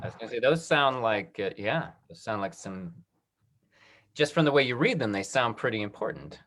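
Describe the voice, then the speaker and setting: A man's voice: medium pitch, expressive, normal speed, fairly bright tone. Upbeat man hosting a Q&A. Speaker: talk back host. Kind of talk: video call Q&A. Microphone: video call.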